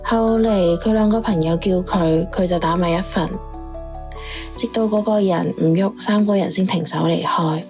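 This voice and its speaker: A woman's voice: fairly low pitch, moderate pace, a little mellow, lightly acted. A woman playing a world-weary informant who reports her findings in a matter-of-fact, resigned way in an audio drama. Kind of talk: radio drama dialogue. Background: music.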